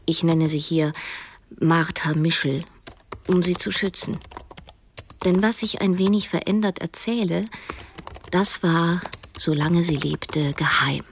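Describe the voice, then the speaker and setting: Low-voiced, wry young novelist narrating. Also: female voice, slow, pauses often, a little hiss or hum. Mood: reflective, wry. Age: young adult. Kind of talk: scripted first-person radio drama narration.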